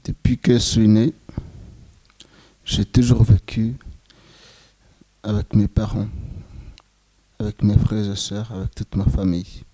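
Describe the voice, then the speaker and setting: A Rwandan man in his twenties giving solemn, measured testimony as a genocide survivor. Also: on the low side, steady tone, warm tone, dry recording, low-quality recording. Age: 20s. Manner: slow, grave, measured phrasing.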